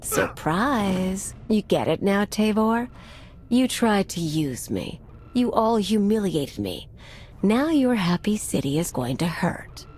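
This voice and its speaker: An actress playing a vengeful, brazen anarchist bomber in a video game, with a sardonic, taunting manner. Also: female voice, medium pitch, sing-song, slow, a little mellow, slight background noise. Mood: mocking, bitter. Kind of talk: video game cutscene acting.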